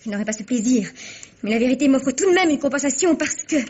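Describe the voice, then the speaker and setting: A woman's voice: sits high, animated, quick pace, a little mellow, husky. A woman playing a proud, spiteful young would-be starlet with biting, defiant theatrical delivery, in a 1950s French radio mystery. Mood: bitter, defiant. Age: young adult. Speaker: actor. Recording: dull vintage radio recording.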